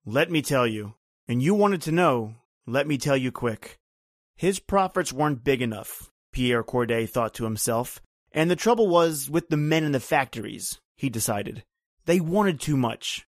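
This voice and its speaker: A grim island man, played by a male actor, spins a bitter horror tale in the manner of old-time radio. His phrasing is folksy, rhythmic and brooding. The sound is dry, with a mid-pitched voice. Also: expressive, measured pace, dry recording. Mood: grim, intense.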